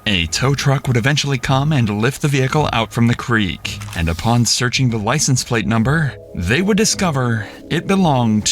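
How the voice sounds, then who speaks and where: A man's voice: medium pitch, expressive. Man narrating true crime over music. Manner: measured, dramatic pacing. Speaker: documentary narrator.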